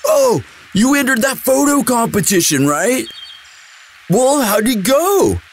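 A man's voice: very high voice, wildly swooping pitch, slow pace, slight background noise, heavily acted. A young man playing a sweet, dim, eager athlete boyfriend in a casual, intimate audio roleplay, with a slangy, drawling delivery. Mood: excited, proud.

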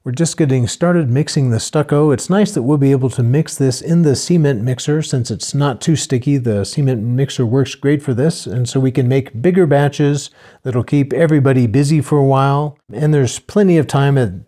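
An older man calmly narrating his own building project in a plain, matter-of-fact voice-over. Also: mid-range voice, normal speed. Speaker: homesteader narrating a build video.

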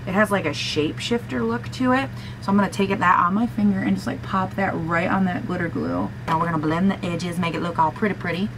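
A raspy young woman in her twenties chats her way through a makeup tutorial in a small room at home, gushing over colors and teasing herself. Her voice sits a little low, and a faint hiss runs under her close camera mic. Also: fast, few pauses. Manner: gushing and chatty, talks to herself. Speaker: beauty vlogger. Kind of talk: casual makeup tutorial chat.

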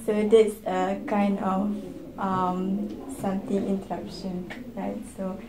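Young malaysian woman, flat unhurried delivery, halting with fillers, echoey room, noisy background. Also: mid-range voice. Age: young adult. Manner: halting, filler-heavy, tag questions. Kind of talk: student group reflection discussion. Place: indoor meeting room at a table. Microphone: far camera.

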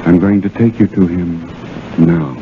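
An actor playing a grave, solemn man breaking painful news in a 1930s radio drama, slow and halting. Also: low-pitched. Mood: grave, resolute. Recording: noisy, narrow-band 1930s radio recording.

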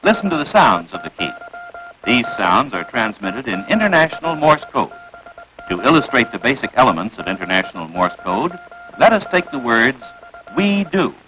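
An American man reading scripted narration for a 1940s military training film in a crisp, instructive manner, on a vintage film soundtrack. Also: mid-range voice, big pitch swings, moderate pace, crowd noise, poor audio.

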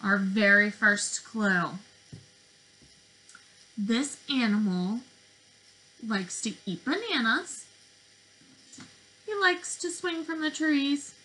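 A woman in her twenties, a speech therapist, reading to preschoolers in an animated, questioning way, recorded on a webcam in a bedroom. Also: on the high side, slow, pauses often, low-quality recording. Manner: animated, questioning delivery for young children. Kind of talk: interactive children's read-aloud lesson. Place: small bedroom indoors.

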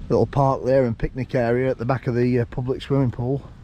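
Chatty man with a mellow voice. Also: mid-range voice, quick pace, a little hiss or hum. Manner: relaxed, chatty, pointing things out. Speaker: vlogger. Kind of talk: casual walking tour commentary. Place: outdoors walking around a lakeside town.

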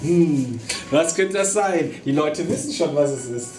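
Man, 30s, co-host, high voice, very animated pitch, teasing, slangy, echoey workshop, faint music. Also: measured pace. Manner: excitable and teasing, with frequent laughter and slangy outbursts. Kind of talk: casual chat. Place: small hobby workshop indoors.

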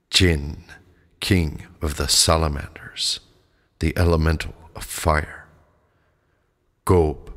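Man, audiobook narration, very deep bass voice, flat intonation, measured and deliberate. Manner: measured, deliberate glossary reading. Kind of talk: scripted narration.

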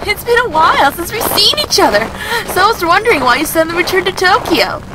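A woman playing an excitable, inquisitive young female friend in a radio drama. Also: very high-pitched, quick pace, very noisy. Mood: excited. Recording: music behind the voice.

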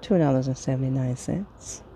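A woman casually reading through a sales flyer item by item, pausing between phrases in an unhurried way. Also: rumbling low, dark tone, a little hiss or hum. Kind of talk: casual product read-through.

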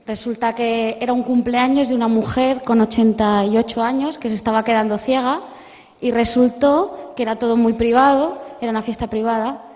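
A woman's voice: a little high, fairly even intonation, brisk. A woman in the audience of a panel, telling an anecdote conversationally. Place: large auditorium during a panel. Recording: muffled, echoing auditorium sound.

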